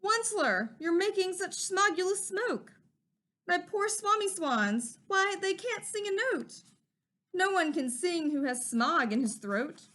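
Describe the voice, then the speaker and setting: A small, indignant forest creature scolds in a high, bright voice that rises and falls with feeling, as a woman reading a storybook aloud puts him on. He lectures and preaches, sure he is right. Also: expressive, measured pace, full character voice. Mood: scolding.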